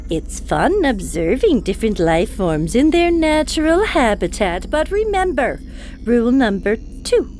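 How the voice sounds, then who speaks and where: An actress playing a long-suffering alien ship's officer in a comedic audio drama, dry, sarcastic and wearily officious. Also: female voice, a little high, sing-song, a little slow, darkish tone, slight background noise. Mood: stern, exasperated.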